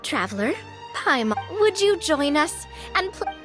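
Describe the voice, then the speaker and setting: A woman's voice: sits high, big pitch swings, a little slow. A woman playing a bubbly, sociable young woman in a video game, with a playful, chatty, exclamatory way of talking. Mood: cheerful, coaxing. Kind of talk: video game character acting. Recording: game music behind.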